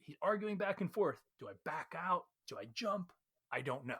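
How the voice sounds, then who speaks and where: A man giving a casual, conversational recap of a story as a read-along host, retelling it in an animated way. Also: sits high, moderate pace, breathy edge.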